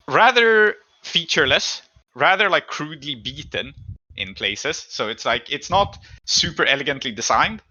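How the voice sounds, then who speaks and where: Bright, forceful man narrating a tabletop game. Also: mid-range voice, dull audio. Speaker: game master.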